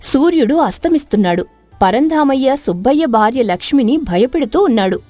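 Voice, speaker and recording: A woman's voice: sits high, lively intonation, fairly quick, warm tone, dry recording, soft background music. A woman narrating a scripted story in a dramatic storytelling style.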